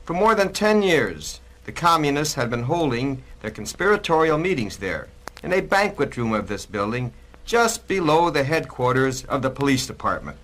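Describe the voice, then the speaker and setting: A man delivers scripted narration in the style of a 1960s political documentary record. His mid-range voice swings widely in pitch. He is emphatic and admonishing, like an orator warning his listeners. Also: sing-song, moderate pace, a bit bright.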